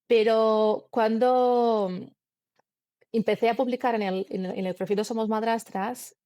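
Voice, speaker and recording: Woman, earnest, halting, lots of restarts, mid pitch, dry close sound. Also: steady tone, dark tone, no room sound. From Brazil. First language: Portuguese. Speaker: guest. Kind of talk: conversational interview, personal story.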